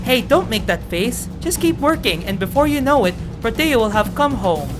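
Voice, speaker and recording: An earnest young giant farmer from a fantasy game, read by a woman, hardworking and wistful. The voice is high, with music behind it. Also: very animated, moderate pace. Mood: patient, chiding.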